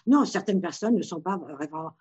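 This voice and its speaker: Husky-voiced woman, halting and thoughtful. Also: fairly low pitch, animated, darkish tone. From Morocco. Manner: thoughtful, halting with many restarts. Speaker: Gestalt therapist. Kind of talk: reflective interview answers. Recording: dull lo-fi sound.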